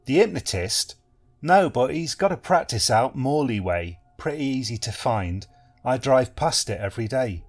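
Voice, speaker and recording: A man's voice: medium pitch, big pitch swings, normal speed. A man doing a chatty, upbeat policeman.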